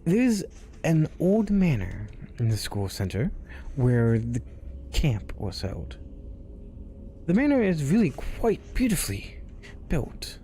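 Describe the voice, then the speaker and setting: A man hosting a spooky story show, reading tales aloud in a hushed voice that often drops to a whisper. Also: medium pitch, a little hiss or hum. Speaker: storyteller host.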